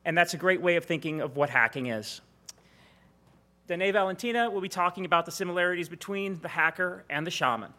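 A man with a high, bright voice introduces the next speaker at a conference. He delivers the introduction quickly and briskly. His tone stays sharp and forward. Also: lively intonation.

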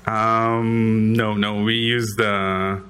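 A man with an Israeli accent answers audience questions after his conference talk. He speaks slowly in a lowish voice, pausing with ums and restarting his sentences. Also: flat delivery, bright, forceful tone, slight background noise. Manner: hesitant, many ums, restarts, light self-deprecating laughs.

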